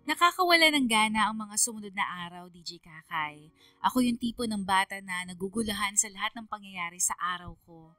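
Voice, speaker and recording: Bright, sorrowful Filipina narrator. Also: female voice, mid-range voice, expressive, a little fast, soft background music. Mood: guilty, wistful. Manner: earnest, confessional. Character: sorrowful young female letter writer recounting family troubles. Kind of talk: scripted first-person radio drama narration.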